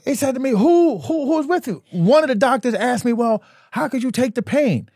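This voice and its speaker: A man's voice: very high voice, lively intonation, fairly quick, darkish tone, husky, dry recording, studio quality. A man in his sixties, talking earnestly as a podcast guest in casual chat, often repeating himself. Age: 60s.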